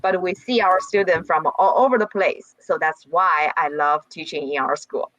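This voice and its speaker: A woman professor from China with a Chinese accent, introducing herself on a video call in a cheerful, halting way with many fillers and laughs. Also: on the low side, fairly bright tone, dry recording, muffled recording. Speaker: geography professor. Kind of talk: online workshop introduction.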